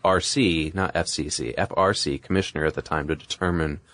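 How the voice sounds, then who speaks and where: A man with a low voice talks casually as a podcast co-host. He explains things conversationally, with little asides, in a dry, close recording. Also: steady tone, a little fast, a bit bright, no room sound.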